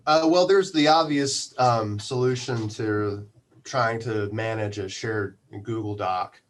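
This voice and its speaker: Male interview guest, lowish voice, halting and conversational, community radio manager type. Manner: halting, thinking aloud. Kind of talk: casual spoken interview.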